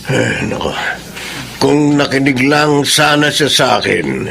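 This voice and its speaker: A man's voice: mid-range voice, big pitch swings, slow, gravelly, a bit bright, a little hiss or hum, exaggerated voice. A man playing a disapproving, pessimistic older father in a Filipino radio drama. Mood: grumbling, regretful. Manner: grumbling, skeptical.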